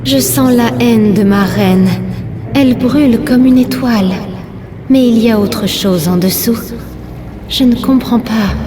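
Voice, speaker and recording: A woman's voice: fairly high pitch, measured pace, noisy background, lightly acted. A woman voicing a devoted alien servant of a swarm queen, formal and deferential, in a dramatic science-fiction game. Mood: curious, solemn. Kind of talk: dramatic video game acting. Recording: long reverb, music behind.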